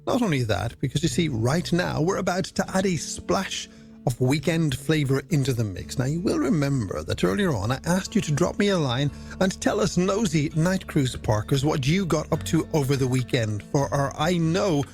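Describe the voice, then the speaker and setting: A man's voice: fairly high pitch, animated, moderate pace, vocal fry, darkish tone. A man presenting a late-night music radio show in a chatty, scripted style, with playful, wordy patter aimed at the listener.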